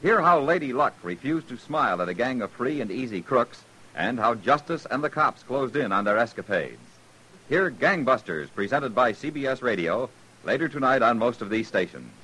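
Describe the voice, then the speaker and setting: Vintage radio announcer, man, scripted delivery, lively intonation, forceful husky edge. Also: medium pitch, normal speed, rough recording.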